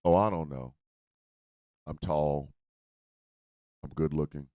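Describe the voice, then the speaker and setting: A man voicing a boastful, smooth-talking adult man with a very deep, low voice and a smug, self-praising manner. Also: steady tone, slow pace, mellow, no echo.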